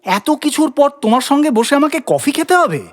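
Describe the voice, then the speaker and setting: A man's voice: very high voice, big pitch swings, a little fast. An actor playing an exasperated, incredulous husband in a comic audio drama, sputtering and repeating his words in indignant questions. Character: exasperated, incredulous husband, grumbling but soft-hearted.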